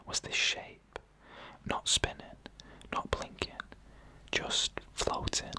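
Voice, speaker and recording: A narrator reading scary stories in a hushed ASMR whisper, with slow, deliberate phrasing and pauses. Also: poor audio. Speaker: narrator and host of a scary story show.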